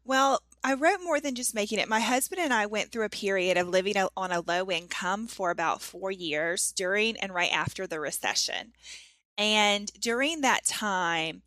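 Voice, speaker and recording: A woman in her thirties with a Southern accent from North Carolina, a blogger and author who talks softly and laughs often as a podcast guest. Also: medium pitch, normal speed, no room sound. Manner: warm, soft-spoken, laughs often. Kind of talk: casual interview conversation.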